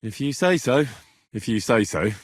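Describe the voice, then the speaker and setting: A man playing a plucky, wry young medieval adventurer in video game dialogue, conversational and dry, with a slightly husky voice. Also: mid-range voice, very animated, moderate pace, no room sound. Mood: dry, sardonic.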